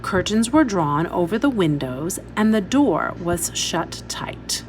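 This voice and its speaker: Woman teacher reading aloud, lively intonation. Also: lowish voice, background noise. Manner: instructive, pausing to point out details. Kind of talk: expressive read-aloud storytelling.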